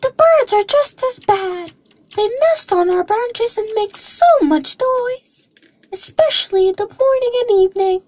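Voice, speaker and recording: Storybook tree character, female performer, high voice, fed up and grumbling indignantly. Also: lively intonation, unhurried, darkish tone, no echo. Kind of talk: scripted voice-over drama reading.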